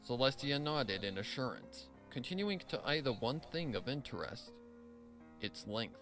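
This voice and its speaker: A man's voice: medium pitch, very animated, normal speed, music in the background. A man narrating a scripted story, reading expressively and dramatically.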